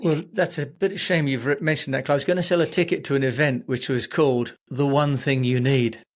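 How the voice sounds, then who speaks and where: A man speaks as the host of an interview show. His voice sits at a middle pitch, and he phrases his thoughts in a measured, reflective, conversational manner. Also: fairly even intonation.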